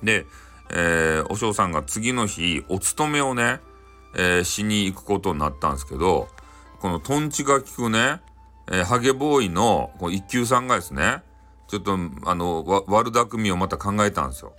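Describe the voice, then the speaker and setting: A man with a Hakata dialect informally telling a bedtime folktale in a folksy, conversational way with halting phrases. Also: low-pitched, expressive, bright, forceful tone, faint music behind. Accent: Hakata, Japan. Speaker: storyteller.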